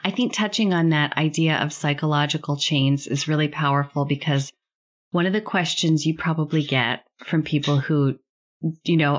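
A woman interviewing in a conversational, affirming way, relating what she hears to her own experience. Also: deep voice.

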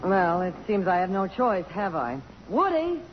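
An actress playing a brisk, proud middle-aged congresswoman with a romantic streak, in 1950s radio theater style. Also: mid-range voice, sing-song. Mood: dry, indignant. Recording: muffled, hissy old radio broadcast.

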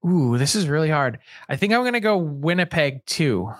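A man co-hosting a casual sports podcast, thinking out loud and weighing his picks. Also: a little high, sing-song, normal speed, no room sound, studio quality. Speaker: podcast co-host.

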